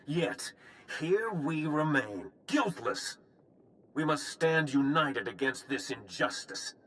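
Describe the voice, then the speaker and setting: Game rebel leader, highish forceful voice, impassioned, slow speech, faintly noisy rumbling recording. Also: male voice, lively intonation, full character voice. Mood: fiery, defiant. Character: charismatic, defiant male prisoner rebel ringleader. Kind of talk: video game voice acting, rallying speech.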